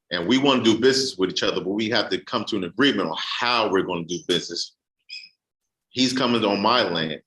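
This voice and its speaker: Low-voiced man reading and explaining. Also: bright, forceful tone, rough recording. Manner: reads aloud with halting restarts. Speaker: host. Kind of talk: casual explanatory talk.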